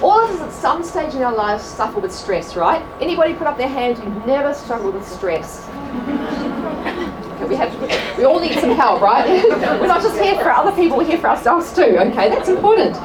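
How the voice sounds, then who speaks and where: A woman with an Australian accent presenting a class to an audience at a church event, chatty and quick to laugh at herself. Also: high voice, big pitch swings. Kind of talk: live talk to a class. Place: large room with an audience. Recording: echoey hall from far off, crowd noise.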